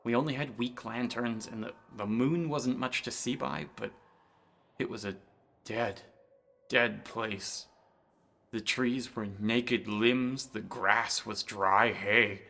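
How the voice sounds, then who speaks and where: An actor in a horror radio drama playing a timid, anxious scholar with nervous, old-fashioned formal speech. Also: male voice, mid-range voice, steady tone, slow pace, vocal fry, sharp tone, heavily acted. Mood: haunted.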